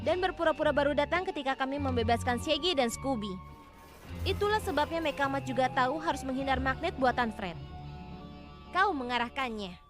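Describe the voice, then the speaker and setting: A woman's voice: sits high, lively intonation, a little fast. A woman voicing a clever, confident young female detective in a cartoon dub. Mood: confident explaining. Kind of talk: animated cartoon dub acting. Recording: music behind the voice.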